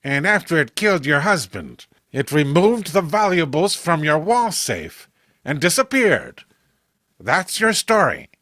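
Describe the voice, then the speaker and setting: A man's voice: a little high, sing-song, measured pace, bright, forceful tone, dry recording, clean studio sound, full character voice. A man playing an authoritative veteran police commissioner, recounting a case in a horror comic reading. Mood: sceptical. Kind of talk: dramatic storytelling, horror comic voice acting.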